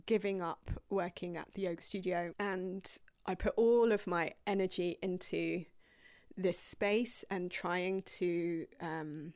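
A young woman yoga teacher telling her own story to viewers in a rambling, candid, casual way, dropping to breathy whispered asides now and then. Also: on the low side, steady tone, takes it slowly, a little mellow, no room sound, clean studio sound.

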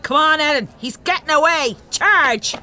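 A scorned Irishwoman in a radio play. Her voice is very high, sharp and forceful, full of fight. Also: lively intonation, normal speed, slight background noise, heavily acted. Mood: outraged. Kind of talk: audio drama acting.

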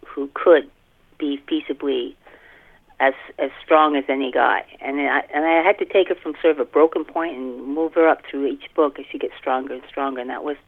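A woman's voice: extremely low pitch, fairly even intonation, moderate pace. A middle-aged woman author giving a casual, anecdotal interview over the telephone, with a self-deprecating manner. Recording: tinny narrow phone line.

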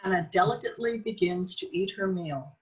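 A woman reading scripted narration for a radio drama in a measured storytelling manner. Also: lowish voice, slightly rough, muffled recording.

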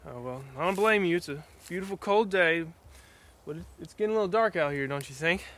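A man's voice: high-pitched, lively intonation, a little fast, fairly bright tone. A man playing a nervous, polite, folksy sheriff's officer with hesitant, stammering speech in an audio drama. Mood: awkwardly friendly.

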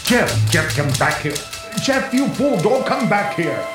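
An actor playing a skeptical, uneasy disc jockey broadcasting from a haunted house in a 1940s radio drama. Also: male voice, sits high, very animated, unhurried, music in the background. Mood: alarmed. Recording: roomy, echoing 1940s radio recording.

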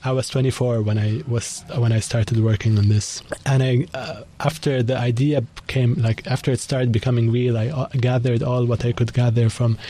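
A young man from Lebanon, a magazine founder, talking through a radio interview quickly and thoughtfully, with many fillers. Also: mid-range voice, level tone, dark tone, slight background noise. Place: college radio studio.

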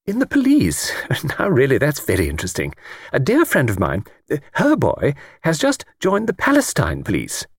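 A man voicing a gentle, chatty old English lady in an audiobook, with a thin, quiet voice and a hesitant, rambling, genteel manner. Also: medium pitch, huge pitch swings, moderate pace, breathy edge, dry recording, clean studio sound, pitched above the performer's own voice, heavily acted. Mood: chatty, fluttering. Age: older. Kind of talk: audiobook character reading.

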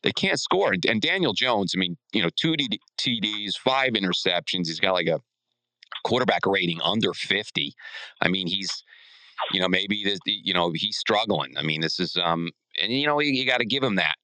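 A man's voice: low voice, lively intonation, normal speed, sharp tone, clean tone, dry recording, low-quality recording. A man co-hosting a sports podcast in casual discussion, often restarting his sentences midway.

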